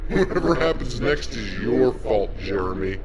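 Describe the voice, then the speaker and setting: A man playing a gleeful, menacing demonic monster in a horror audio drama, taunting in drawn-out words. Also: mid-range voice, expressive, crowd noise, close to an everyday voice. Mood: gleeful, blaming. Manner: taunting, slow, drawn-out delivery.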